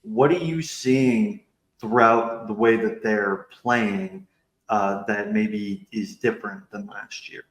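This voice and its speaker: Man, echoey room sound, fairly low voice, podcast host, conversational sports questions. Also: fairly even intonation, takes it slowly.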